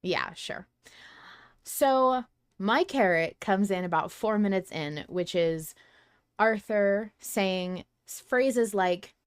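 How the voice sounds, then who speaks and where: A woman's voice: medium pitch, no echo, clean studio sound. A woman talking casually and enthusiastically as a podcast host, sharing her opinions in a fan discussion.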